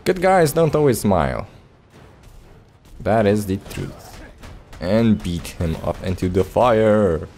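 A man's voice: lowish voice, very animated, slow, long pauses, darkish tone, slight background noise. A man giving casual, playful video game commentary, joking and muttering reactions as he plays. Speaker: Let's Play host. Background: video game sounds.

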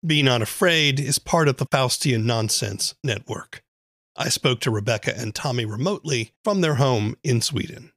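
Male podcast host with mid-pitched voice. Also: expressive, moderate pace, a bit bright, dry recording. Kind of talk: scripted podcast narration and interviewing.

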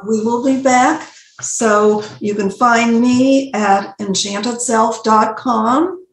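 A woman's voice: on the high side, steady tone, slow pace. An older American woman hosting a casual chat from a room at home over a video call. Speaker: host. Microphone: computer mic on video call. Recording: roomy echo on video call.

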